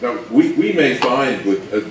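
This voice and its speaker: A man's voice: medium pitch, animated, unhurried. A man co-presenting an informal slide talk in a joking, conversational way. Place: room presentation before an audience. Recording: roomy, distant mic, faint background noise.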